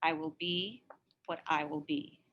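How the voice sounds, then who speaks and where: A woman's voice: low voice, steady tone, unhurried, dull audio, slight background noise. Woman voicing an earnest, determined young girl. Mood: quietly resolute. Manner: earnest, resolute declarations. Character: determined, defiant girl who dreams of becoming rabbi.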